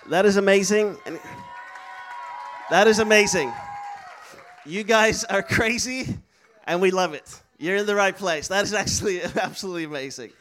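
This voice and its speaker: A man's voice: high voice, a bit bright. A young man giving an upbeat, encouraging talk and calling out to a live audience at a missions school. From Netherlands. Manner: upbeat, encouraging, calls out to the crowd.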